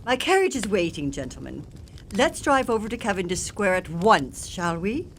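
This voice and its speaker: Haughty, animated aristocratic lady played by a woman. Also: mid-range voice, normal speed, very noisy. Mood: imperious, sly. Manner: grand, imperious, teasing. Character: haughty, sharp-tongued aristocratic lady plotting a prank. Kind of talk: audio theatre acting.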